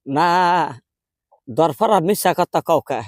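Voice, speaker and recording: A man acts out a quarrelsome old grandmother from a village, high in pitch and speaking rural Bangladeshi dialect. She complains and haggles stubbornly, as in a comic prank call, with a dry sound free of room echo. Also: expressive, takes it slowly, darkish tone. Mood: indignant, insistent. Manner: insistent, complaining, argumentative.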